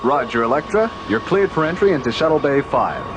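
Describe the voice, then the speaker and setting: An actor playing a brisk, efficient space station control operator in a radio drama. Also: male voice, fairly high pitch, lively intonation, background noise. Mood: friendly, efficient. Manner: procedural, efficient.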